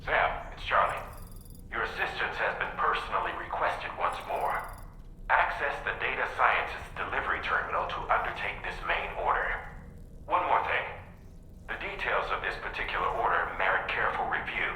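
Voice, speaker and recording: An actor playing a businesslike handler in a game, giving a formal mission briefing through a radio effect. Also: male voice, mid-range voice, lively intonation, moderate pace, bright, forceful tone, roomy sound, a little hiss or hum, poor audio. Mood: formal, urgent. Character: businesslike male handler relaying orders over radio, dryly formal.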